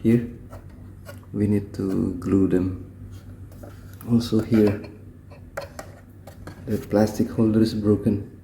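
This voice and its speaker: Slow, very mellow Indonesian-accented man. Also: fairly low pitch, level tone, gravelly, a little hiss or hum. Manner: halting, short phrases in simple non-native English. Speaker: repair technician giving a tutorial. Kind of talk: hands-on tutorial narration.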